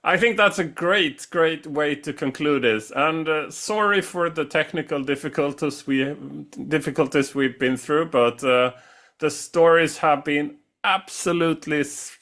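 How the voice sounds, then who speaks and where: A man hosting a casual, unscripted interview, speaking in a halting, effusive way. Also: on the high side, fairly bright tone. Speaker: interview host.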